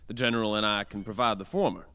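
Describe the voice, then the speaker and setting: Haughty young elf played by a man, sharp-edged. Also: on the low side, fairly even intonation, fast, smooth, dry recording. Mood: smug. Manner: pompous, haughty. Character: arrogant adolescent male elf, haughty and snobbish. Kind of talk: fantasy audio drama acting.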